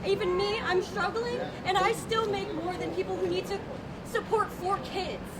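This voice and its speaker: A woman with a very high voice speaks angrily and forcefully at an outdoor protest. Her voice comes from a distance on a city street. Loud crowd and traffic noise fill the background. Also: fairly even intonation, normal speed. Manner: angry, forceful. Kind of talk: impassioned street rally speech.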